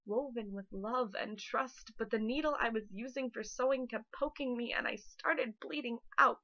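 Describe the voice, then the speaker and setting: A woman's voice: fairly high pitch, bright, forceful tone. A woman in her twenties performing slam poetry in an intense, emotive way with dramatic phrasing, on a dull lo-fi recording. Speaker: slam poet. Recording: dull lo-fi microphone sound.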